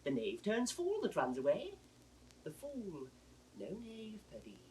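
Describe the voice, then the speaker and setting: Mocking court jester, extremely swooping singsong pitch, riddling, teasing, classical stage drama, slightly distant hissy old recording. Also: male voice, wildly swooping pitch, unhurried, a little mellow, heavily acted. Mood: wry, loyal. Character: witty, riddling court fool, mocking but loyal. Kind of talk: classical Shakespearean stage acting.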